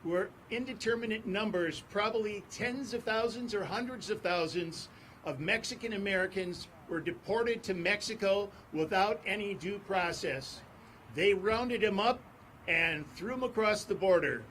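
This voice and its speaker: A man speaking at an outdoor press conference on court steps, in a forceful, repetitive rally cadence. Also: high voice, normal speed, a little hiss or hum, rough recording. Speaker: advocacy group speaker. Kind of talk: impassioned press conference speech.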